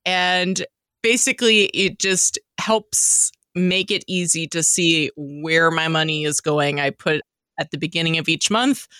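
A woman's voice: low-pitched, fairly even intonation, unhurried, bright, forceful tone, no room sound. A woman co-hosting a podcast, talking in a casual, conversational style. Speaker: podcast co-host. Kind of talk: casual chat.

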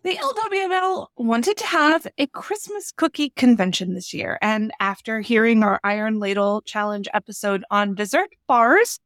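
A woman's voice: fairly high pitch, animated, normal speed, hardly any pauses, a bit bright, no echo, clean studio sound. A woman delivering scripted dialogue in a comedy radio skit.

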